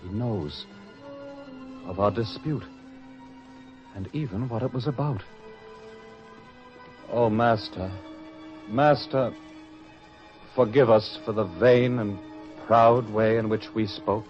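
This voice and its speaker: A thoughtful, penitent male disciple, low in pitch and pensive. He speaks in the formal, theatrical way of radio drama. The muffled recording has faint music. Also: takes it slowly, pauses often. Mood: pleading. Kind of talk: old-time religious radio drama acting.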